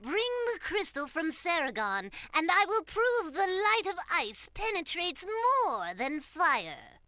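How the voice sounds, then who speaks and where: A shrill, grandiose ice sorcerer. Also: big pitch swings, slow pace, bright, forceful tone, no room sound, full character voice. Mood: solemn. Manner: solemn, proclaiming.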